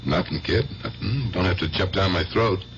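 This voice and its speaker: An actor playing a brusque, tough-talking but good-hearted middle-aged uncle who runs a gambling club, in radio drama style. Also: male voice, low voice, moderate pace. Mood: sympathetic. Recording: muffled radio drama recording, loud hiss.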